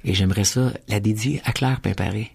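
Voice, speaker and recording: Low-pitched middle-aged Quebec man. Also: a little fast, creaky, a little mellow, slightly rough. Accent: Quebec French. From Quebec, Canada. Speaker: guest, singer-songwriter and guitarist. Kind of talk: casual storytelling in an interview. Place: broadcast studio.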